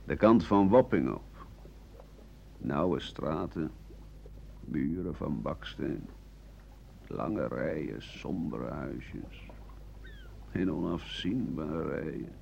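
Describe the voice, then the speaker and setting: An actor playing an embittered, war-weary French doctor who broods over his past in a slow Dutch radio play. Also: extremely low pitch, animated, gravelly, a little mellow, heavily acted. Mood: bitterly nostalgic. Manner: slow, brooding, halting delivery with swings of emphasis. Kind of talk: 1980 Dutch radio play, monologue and dialogue. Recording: dull, noisy old radio recording.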